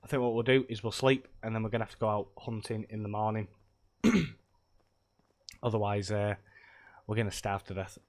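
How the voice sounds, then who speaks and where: A man with a croaky voice from a cold, chatting casually and rambling as he streams himself playing a game at his computer. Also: fairly low pitch, moderate pace, long pauses, a little mellow. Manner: rambling, loose, many hesitations. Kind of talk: casual live-stream chat while gaming.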